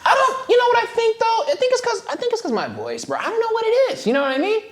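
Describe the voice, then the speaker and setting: A man from the Bay Area, a content creator, in casual sit-down chat with slangy, laid-back banter and a voice that is not very deep. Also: wildly swooping pitch, fast talker. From San Francisco Bay Area.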